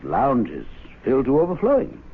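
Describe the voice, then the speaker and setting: A man's voice: medium pitch, huge pitch swings, measured pace, slightly rough, exaggerated voice. An actor playing an urbane, pompous club president in a radio drama, formal and arch in his wording. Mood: archly probing. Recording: tinny old radio broadcast.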